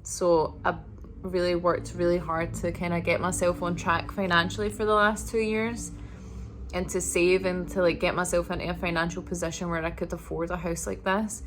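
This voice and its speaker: A woman vlogging a casual apartment tour indoors, talking in a monotonous, relaxed way with lots of fillers. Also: lowish voice, moderate pace, background noise. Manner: monotonous, flat delivery; relaxed, filler-heavy talk.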